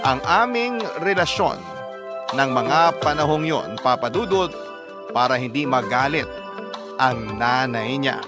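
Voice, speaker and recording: A man's voice: mid-range voice, expressive, unhurried, lightly acted. An actor playing a devoted, humble young man who narrates his love story to a radio host in an earnest, confiding way. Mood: resigned, confiding. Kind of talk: dramatized first-person letter narration to a radio host. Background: loud plucked guitar music.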